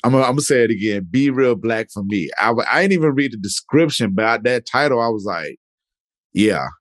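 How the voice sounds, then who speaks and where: A man hosting a podcast interview in relaxed, casual chat, rambling with many fillers and restarts. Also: medium pitch, fairly quick, no echo, studio quality. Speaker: podcast host.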